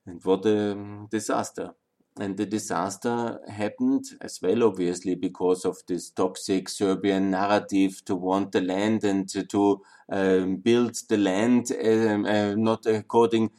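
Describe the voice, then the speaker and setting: Halting Austrian-accented man lecturing. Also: on the low side, fairly even intonation, dark tone. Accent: Austrian German. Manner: halting, many fillers and restarts. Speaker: speaker on Balkan history. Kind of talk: lecture to an audience.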